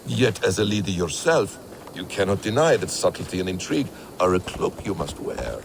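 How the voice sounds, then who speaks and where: An actor playing a shrewd, secretive assassin mentor in a video game, speaking in a measured, formal, instructive way. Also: male voice, sits low, very animated. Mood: calm, instructive. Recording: clear noise behind dialogue.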